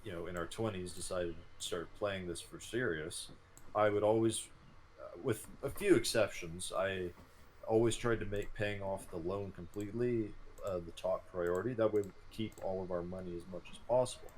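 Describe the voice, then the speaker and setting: A man's voice: sits low, moderate pace, a little mellow, rough recording. A man in his thirties chatting casually about gameplay as a co-host. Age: 30s. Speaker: co-host of gaming series. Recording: clear background noise and ambience.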